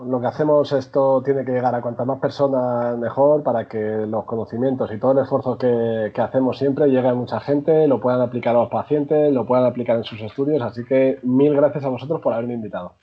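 A man's voice: medium pitch, husky. Chatty Spanish man, lo-fi sound. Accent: Spanish, Madrid. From Madrid, Spain. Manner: chatty, frequent restarts, warm laughter. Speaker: guest researcher and university lecturer. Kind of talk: conversational interview. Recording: slightly dull lo-fi sound.

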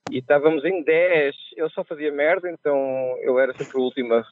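A man from Portugal with a Portuguese accent softened by Brazilian speech, telling stories with a laugh as a podcast guest. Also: fairly high pitch, fairly quick, a little hiss or hum. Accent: Portuguese, softened by years in Brazil. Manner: chatty, laughs at his own mishaps. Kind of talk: casual storytelling. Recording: tinny phone line.

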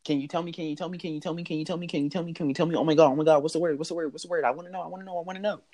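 A man's voice: on the high side, steady tone, very fast, a little mellow, pitched above the performer's own voice, natural voice. Man acting out naive thirty-year-old guy, childlike streak, blurting, repetitive, casual oral story. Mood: excited, begging.

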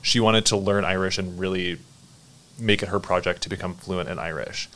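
A man hosting a podcast conversation, speaking in a measured, thoughtful way, asking reflective questions and introducing segments. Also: low voice, steady tone, sharp tone. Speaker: podcast host.

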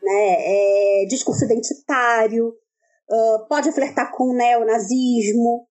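A woman talking in a casual academic discussion, lively and animated. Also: on the high side, slow, soft background music. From southern Brazil. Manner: lively, animated storytelling with acted quotes. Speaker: medievalist scholar.

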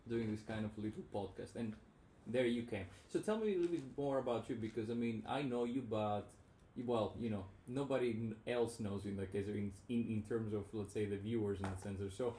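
A man's voice: on the low side, a little fast, dark tone. A man hosting a casual podcast chat, rambling and frank, often restarting his sentences. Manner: rambling, self-interrupting, frank. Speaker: podcast host. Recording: roomy with faint background noise.

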